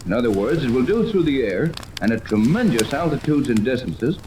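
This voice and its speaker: An actor playing a confident, commanding yacht captain and earnest inventor in a 1930s radio serial. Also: male voice, mid-range voice, big pitch swings, a little fast, lightly acted. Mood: proud, explaining. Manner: commanding, explanatory. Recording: noisy, echoey 1930s radio recording.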